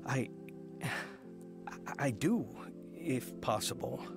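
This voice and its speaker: A man's voice: mid-range voice, very animated, slow. A man playing an earnest, repentant middle-aged father narrating his life story in an American radio drama. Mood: humbled, pleading. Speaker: lead actor narrating his testimony. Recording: music bed under the voice.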